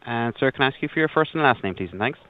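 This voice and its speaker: A man working as a customer service agent on a phone call, speaking politely and apologetically, with halting delivery. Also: medium pitch, expressive. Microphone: telephone. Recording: narrow tinny phone line.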